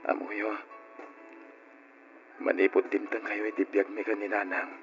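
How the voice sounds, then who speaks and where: A man playing a kindly adult uncle in an old radio drama, speaking gently and earnestly with an Ilocano accent. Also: lowish voice, level tone, unhurried. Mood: reassuring. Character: Uncle Gilbert, kindly adult son and guardian of orphans. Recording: dull lo-fi radio recording with music.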